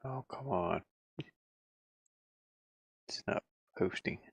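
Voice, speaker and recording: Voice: low-pitched, expressive, very slow, vocal fry, slightly rough, no room sound. A person giving casual, unscripted commentary while working on their own game, often muttering to themselves as they go. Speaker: indie game developer showing own game in progress.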